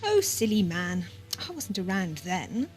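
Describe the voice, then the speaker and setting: A woman's voice: mid-range voice, big pitch swings, unhurried, darkish tone. A woman playing a naive, devoted, childlike young woman in an audio drama, with a hesitant girlish manner. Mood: bright, earnest. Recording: amateur 1980s audio drama with music.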